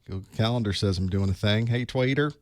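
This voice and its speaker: A man with a hoarse voice from a cold, chatting casually to his live stream audience while he sets up for an online class. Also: on the low side, brisk, dry recording, studio quality. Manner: chatty, wry, talks to himself while setting up. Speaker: online course instructor. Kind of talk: casual pre-show chat to a live stream. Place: small studio desk.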